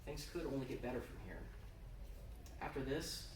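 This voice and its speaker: A man's voice: medium pitch, animated. Male author, dramatic story reading, theatrical emphasis, distant mic, roomy echo. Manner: intense, theatrical, with emphatic pauses. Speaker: author reading own fiction. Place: indoor convention reading room. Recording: roomy, distant, noisy live event recording.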